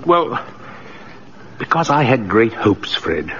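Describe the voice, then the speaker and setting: An older man playing a gentle, principled old Santa Claus figure in a 1940s radio drama, on a muffled old recording. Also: medium pitch, sing-song, takes it slowly, a little hiss or hum, heavily acted. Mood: wounded, disillusioned.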